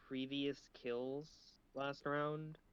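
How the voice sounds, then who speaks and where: A man with a clear, smooth voice at a middling pitch. He reasons aloud in a casual game discussion, and the lo-fi chat audio sounds a bit dull. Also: level tone, drawn-out words, fairly bright tone. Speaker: player in a social deduction game.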